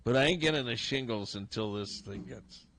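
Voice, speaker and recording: A man's voice: mid-range voice, moderate pace, fairly bright tone, a little hiss or hum. An older man hosting a casual radio sports talk show, congested and talking in a rambling, chuckling way. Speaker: radio host. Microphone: studio broadcast mic.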